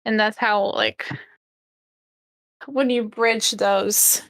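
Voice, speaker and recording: A woman in her twenties chatting casually as a podcast co-host, animated and informal in the way she talks. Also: medium pitch, drawn-out words, dry recording, clean studio sound. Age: 20s. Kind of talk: casual chat.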